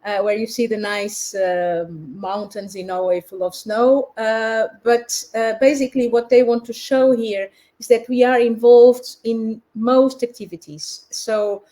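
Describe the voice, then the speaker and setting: A woman's voice: fairly high pitch, fairly even intonation, a little slow, few pauses, dark tone. A woman from Portugal giving a research presentation in a webinar over a video call, speaking hesitantly with many fillers and restarts.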